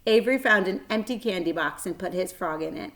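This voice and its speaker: A woman reading a children's book aloud as a storyteller, expressive, with dramatic emphasis and shifting volume. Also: lowish voice, a little fast.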